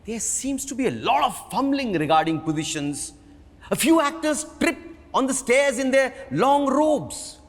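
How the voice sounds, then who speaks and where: A man's voice: extremely high pitch, expressive. Theatrical Indian man reading in an echoey hall. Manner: theatrical, emphatic, with dramatic pauses. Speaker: stage actor giving a dramatic book reading. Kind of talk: dramatic literary reading, scripted narration. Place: large auditorium at a live reading. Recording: echoey hall with long reverb.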